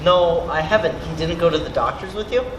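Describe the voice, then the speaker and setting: A man's voice: on the high side, lively intonation, a little fast, highs cut off, lightly acted. In a roomy, echoing space with faint noise, a young man plays a friendly teenage boy in a school play. His delivery is casual and everyday. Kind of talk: stage acting in a school play. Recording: echoey stage recording.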